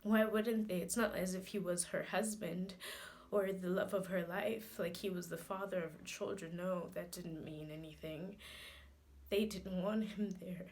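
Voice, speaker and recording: A woman performing a dramatic monologue as a guarded, hot-headed grieving daughter, talking colloquially and haltingly, with repeated phrases. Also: on the low side, steady tone, a little mellow, close to an everyday voice. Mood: bitter, indignant.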